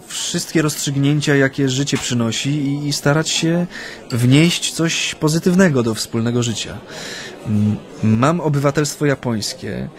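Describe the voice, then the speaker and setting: Aktor jako refleksyjny, wahający się japoński działacz na wygnaniu. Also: male voice, medium pitch, natural voice. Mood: melancholy, dignified. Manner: hesitant, reflective, pausing with hums. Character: thoughtful exiled Japanese Solidarity activist, proud, homesick. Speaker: actor in radio play. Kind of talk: naturalistic radio drama, reminiscing in interview.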